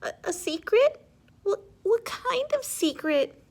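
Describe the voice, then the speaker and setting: A woman acts out a little boy raccoon from a storybook. The voice is very high and swoops about, whiny and pleading. He stammers like a reluctant, tearful child. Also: sing-song, slow, exaggerated voice. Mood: curious, hesitant.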